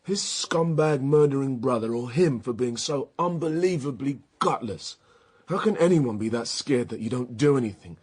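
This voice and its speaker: An actor playing a stubborn, brooding younger male police detective in a radio drama, husky and terse, with a sullen, questioning manner. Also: medium pitch, expressive, normal speed. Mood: angry, bewildered. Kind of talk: naturalistic radio drama acting.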